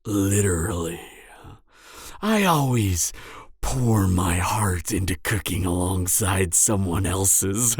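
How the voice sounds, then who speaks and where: Male actor as gloating cannibal serial killer, audio drama. Pompous and theatrical, unhurried delivery, wildly varied intonation. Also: lowish voice, expressive, slightly rough, no echo, studio quality, heavily acted. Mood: sinister, savoring. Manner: theatrical, drawn-out phrasing with frequent chuckling. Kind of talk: roleplay audio drama monologue to the listener.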